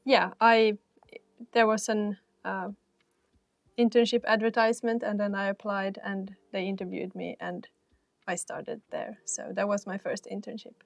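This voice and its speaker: A woman's voice: medium pitch, flat delivery, measured pace, creaky, no room sound. A woman, a career counselor talking casually with many fillers and restarts. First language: Finnish and Swedish. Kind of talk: casual panel talk.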